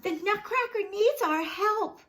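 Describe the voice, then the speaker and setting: Woman voicing an eager, high-pitched little girl. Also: measured pace, a bit bright. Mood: urgent, determined. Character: Ella Bella, eager, friendly little girl ballerina. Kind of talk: children's storybook read-aloud.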